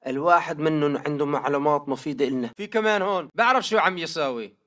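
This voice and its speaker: An actor playing a ruthless militant fighter in a video game, speaking Syrian Arabic. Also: male voice, a little high, fast, a bit bright, close to an everyday voice. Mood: gruff, businesslike. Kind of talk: video game battle dialogue.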